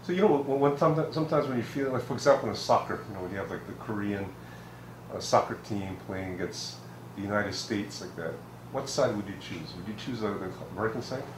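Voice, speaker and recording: A man's voice: fairly low pitch, fast talker. A man interviewing an elder for an oral history, probing with frequent restarts and backchannels. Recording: echoey room with faint hiss.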